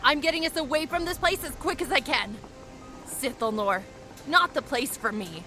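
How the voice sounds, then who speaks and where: A spirited little airship pilot with an extremely high, bright voice. She is bossy and commanding, calling orders in a fantasy audio drama. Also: normal speed, soft background music. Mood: urgent.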